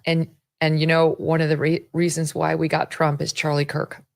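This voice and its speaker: Low-voiced, fervent woman talk show host. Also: monotone, moderate pace, vocal fry. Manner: fervent, emphatic. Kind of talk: political talk show commentary.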